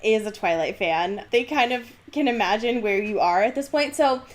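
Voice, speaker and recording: A woman co-hosting a podcast in casual banter, animated and sweary, with dry exaggeration. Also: a little high, moderate pace. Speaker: podcast co-host. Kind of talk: casual banter, book discussion.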